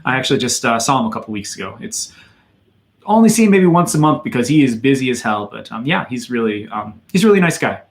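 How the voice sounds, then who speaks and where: A man's voice: medium pitch, big pitch swings, quick pace. A man in his thirties from Wisconsin with an American accent, chatting casually as he answers viewer questions on his livestream. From Madison, Wisconsin, USA. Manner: chatty and loose, many fillers, reads out viewer questions. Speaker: channel host answering chat questions.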